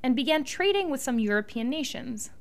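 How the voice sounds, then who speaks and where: A woman co-hosting a scripted history podcast, explaining facts in a brisk way. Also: high-pitched, expressive. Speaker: podcast co-host.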